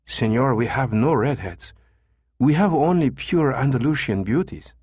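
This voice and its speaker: A man voicing a pleasant, courteous woman receptionist at a Spanish agency, speaking slowly and helpfully. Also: mid-range voice, lively intonation, darkish tone, breathy edge, dry recording, clean studio sound, pitched above the performer's own voice. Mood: polite, helpful.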